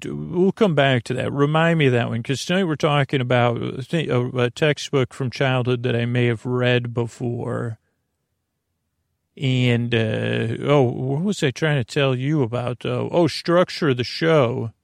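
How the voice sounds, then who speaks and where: A man hosting a bedtime podcast in a meandering, halting monologue that drifts off on tangents. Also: mid-range voice, no echo, clean studio sound. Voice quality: creaky, gravelly. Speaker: podcast host.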